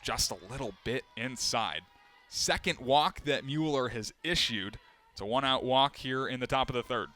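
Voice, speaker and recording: A young man calling live games as a play-by-play announcer, steady between plays and climbing sharply in pitch and volume on big moments. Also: normal speed, hardly any pauses, a faint crowd. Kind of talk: live sports play-by-play commentary.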